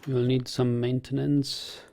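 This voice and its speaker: A man's voice: medium pitch, level tone, a little slow, vocal fry, warm tone. A young man playing a strategy game on stream, thinking aloud in a hesitant, restarting way and answering viewer chat. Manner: hesitant, muttering plans with restarts.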